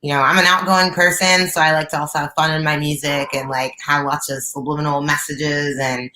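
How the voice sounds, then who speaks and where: A young woman with a low, raspy alto voice, a guest singer talking openly on a video call from her living room, with many fillers and restarts. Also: level tone, fairly quick, pushed and bright, reverberant, a little hiss or hum, poor audio. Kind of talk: casual interview chat. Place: bright living room at home.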